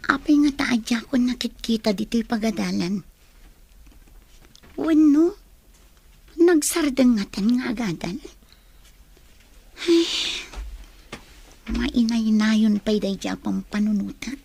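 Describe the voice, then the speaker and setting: Young female student, high voice, pensive and evasive, audio drama romance role. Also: takes it slowly, creaky, pauses often, mellow, breathy edge, exaggerated voice. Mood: wistful. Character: young female student, troubled and evasive about fading love. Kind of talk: Filipino radio drama acting.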